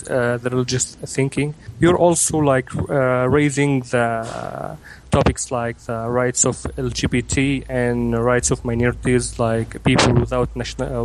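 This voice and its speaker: A man in his twenties, from Egypt and raised in Kuwait, answering interview questions hesitantly with many pauses and restarts. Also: mid-range voice, very noisy. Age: 20s. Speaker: interview guest. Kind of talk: remote interview.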